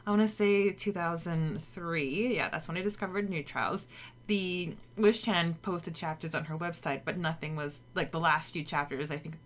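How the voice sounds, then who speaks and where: A woman's voice: lowish voice, normal speed. A woman co-hosting a podcast in casual chat, chatty and laughing often, repeating words as she thinks. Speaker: podcast co-host.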